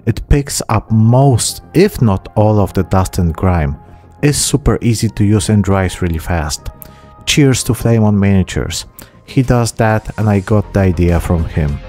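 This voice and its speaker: A man's voice: lowish voice, lively intonation, unhurried, warm tone, soft background music. A man in his thirties giving a casual, self-deprecating narrated hobby tutorial, recorded close on a desk microphone in a small room. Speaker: host of miniature painting channel. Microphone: close desk microphone with pop filter.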